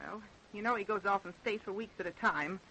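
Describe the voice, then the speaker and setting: An actress playing a scheming, impatient wife in a radio drama, speaking with insistent, scornful urgency. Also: female voice, medium pitch, a little fast, pushed and bright, breathy edge, low-quality recording. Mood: coldly scheming. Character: scheming, impatient wife plotting her husband's murder. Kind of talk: old-time radio drama acting.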